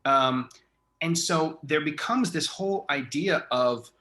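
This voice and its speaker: A man's voice: a little high, slow, sharp tone. A man interviewing a guest in a casual chat, effusive and rambling as he thinks aloud. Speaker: interviewer, theatre artistic director.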